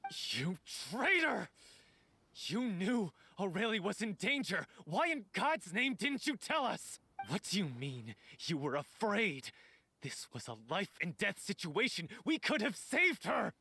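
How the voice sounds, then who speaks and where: A man's voice: sits high, big pitch swings, a little slow, vocal fry, pushed and bright, a little hiss or hum, heavily acted. A man playing a bereaved, hot-tempered lover who accuses bitterly in a dramatic, confrontational style. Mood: furious, grieving. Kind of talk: dramatic voice acting.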